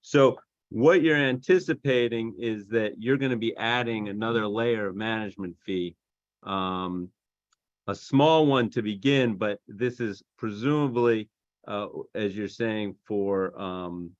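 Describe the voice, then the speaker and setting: A man's voice: fairly low pitch, measured pace, no echo, lo-fi sound. A man speaking as an investor on a business conference call, phrasing things hesitantly and piece by piece. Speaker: investor asking questions.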